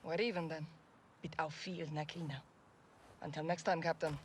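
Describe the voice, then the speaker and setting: An actress playing a bold, loyal freedom fighter in a video game, brisk and assertive. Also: female voice, low voice, fairly even intonation, a little hiss or hum, natural voice. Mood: warm, resolute. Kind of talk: cinematic video game acting.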